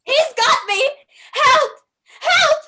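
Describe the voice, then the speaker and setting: A woman playing a terrified, abducted young daughter in an old-time radio horror play, crying out for help in drawn-out shrieks. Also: extremely high pitch, expressive, pushed and bright, full character voice.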